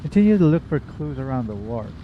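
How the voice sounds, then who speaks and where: A man's voice: mid-range voice, very animated, quick pace, background noise. A man giving casual, playful gaming commentary with wry asides as he plays. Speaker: gaming streamer reacting to a story quest. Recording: slightly dull, faint game music.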